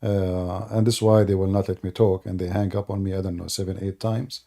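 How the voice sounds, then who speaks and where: Gravelly, deep-voiced man hosting a call-in. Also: flat delivery, fairly quick, dark tone. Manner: emphatic, laughs while mocking opponents, non-native grammar. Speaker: host of a live Q&A stream. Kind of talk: casual live call-in chat.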